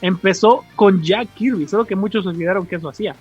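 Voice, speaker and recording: Casting: Mexican male podcast co-host, high husky voice, casual explainer, on a muffled lo-fi recording. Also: fast talker, darkish tone. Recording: dull lo-fi sound, music behind.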